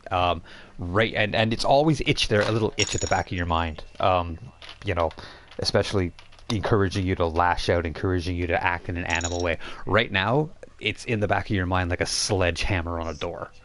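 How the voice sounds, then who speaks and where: A man's voice: deep voice, a little fast, fairly bright tone, a little hiss or hum. A man narrating a tabletop role-playing game as game master, often repeating and restarting his phrases.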